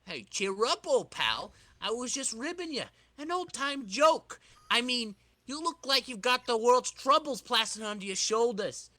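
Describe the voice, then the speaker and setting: Man doing a high, breezy teasing young pal. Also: sing-song, measured pace, sharp tone, no room sound, exaggerated voice. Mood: teasing, cheery. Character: Flash, cheery teasing young male old pal.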